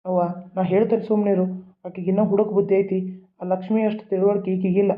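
A woman voicing a stern middle-aged father who talks in a gruff, firm way with a North Karnataka accent. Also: medium pitch, little pitch movement, fast, phone-quality sound, voice pushed down, lightly acted.

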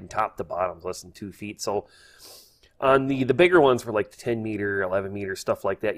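Man, lowish voice, folksy, hesitant, casual hobby how-to narration. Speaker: host, hobbyist instructor. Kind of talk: casual spoken tutorial over screen.

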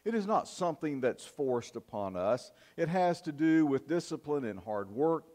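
An older man preaching a sermon in a church, in a measured, earnest style with emphatic pauses. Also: on the high side, very animated, dark tone. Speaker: pastor. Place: church sanctuary, at the pulpit. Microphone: fixed camera from the back of the church.

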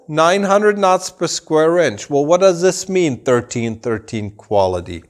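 A man in his forties, raised in Germany, a rug dealer explaining things to camera in a showroom, slow and deliberate with gestures. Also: on the high side. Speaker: rug dealer and channel host. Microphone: camera at a few meters, full body framing.

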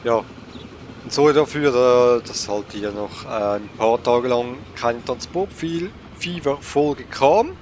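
Slow-talking man with a cold. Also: mid-range voice, a little mellow, slight background noise. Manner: slow, hesitant, thinking aloud with fillers. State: has a cold. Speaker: let's play gamer. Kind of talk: casual gameplay commentary.

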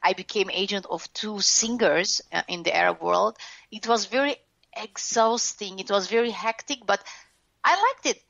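A woman's voice: medium pitch, normal speed, creaky, fairly bright tone, dry recording, lo-fi sound. A woman with a Lebanese accent, a former TV host, frankly telling her life story in an interview, full of fillers and restarts. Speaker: guest, author and former TV host.

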